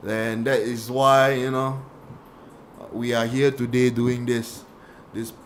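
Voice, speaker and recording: A man's voice: mid-range voice, flat delivery, slow pace, a little hiss or hum. Rambling Singaporean man hosting a podcast. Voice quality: says he has a radio voice. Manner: rambling, storytelling, frequent restarts and fillers. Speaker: podcast host. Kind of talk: casual chat. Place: small podcast room. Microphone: studio podcast mic.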